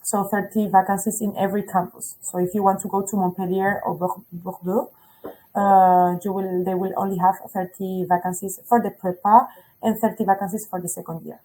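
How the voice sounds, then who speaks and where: A woman's voice: medium pitch, flat delivery, brisk, warm tone, a little hiss or hum, rough recording. A woman representing a school, explaining fees and places in a webinar with frequent self-corrections. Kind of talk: webinar information talk.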